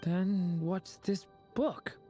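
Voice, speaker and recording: Shy, low-voiced earnest girl. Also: drawn-out words, dark tone, faint music behind. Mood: puzzled. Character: shy, earnest young girl, hesitant and polite. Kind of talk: video game character acting.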